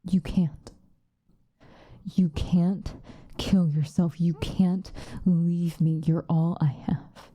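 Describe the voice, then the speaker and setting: A woman playing an obsessive, possessive lovesick woman in an intimate, whispered ASMR roleplay, with a breathy voice. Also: on the low side, flat delivery, dark tone, no room sound. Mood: desperate, pleading. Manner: slow, intimate, pleading.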